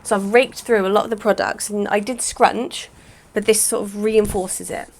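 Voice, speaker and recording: A woman, chatting casually through a hair-care vlog, a little croaky, rambling with lots of "sort of". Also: mid-range voice, steady tone, normal speed. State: slightly croaky voice. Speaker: hair vlogger.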